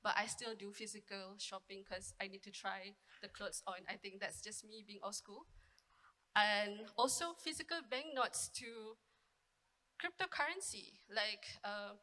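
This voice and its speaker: A woman moderates a panel in a big conference hall, her bright voice ringing out in a long reverb. She talks in a chatty way, with fillers and asides to the audience. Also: medium pitch, measured pace. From Malaysia (Sarawak). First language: Iban.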